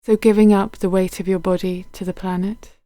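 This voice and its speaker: A woman guiding a body scan meditation in a gentle, unhurried, soothing manner, letting her phrases end softly. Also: medium pitch, level tone, no echo. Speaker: meditation guide.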